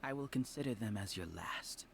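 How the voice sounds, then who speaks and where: A man's voice: fairly low pitch, lively intonation, normal speed, creaky, fairly bright tone, a little hiss or hum. A man playing an arrogant, condescending villain who rules a hive mind in a video game, with a menacing, theatrical delivery. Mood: contemptuous.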